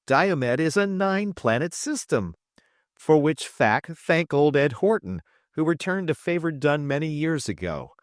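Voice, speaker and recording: Man voicing a jaunty, boastful space trader, pitched high. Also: big pitch swings, measured pace, dry recording, clean studio sound. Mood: gleeful lecturing. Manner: grandiose, lecturing, teasing. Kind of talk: audiobook character dialogue.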